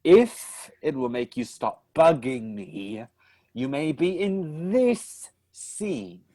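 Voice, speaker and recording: Guy playing a fed-up author narrating, slow and lively, dry sound. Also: fairly high pitch, no room sound. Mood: exasperated. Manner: exasperated, sighing. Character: exasperated male author narrating his own tale. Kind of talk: radio play narration.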